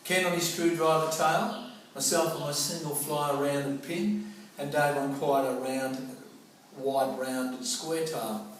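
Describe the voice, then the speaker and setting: A middle-aged man with an Australian accent tells a personal story in a colloquial, theatrical style. His voice sits fairly high for a man. It is heard at some distance from the microphone in a very echoey room. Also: fairly even intonation, slow. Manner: colloquial, theatrical, acts out remembered dialogue. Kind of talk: animated personal anecdote storytelling. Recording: roomy, echoing, noisy distant microphone.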